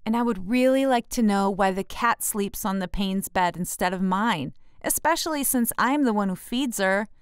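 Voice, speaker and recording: A woman's voice: mid-range voice, moderate pace, few pauses, smooth, no echo, clean studio sound, lightly acted. A woman voicing a jealous, bossy eight-year-old girl in a children's storybook. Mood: indignant.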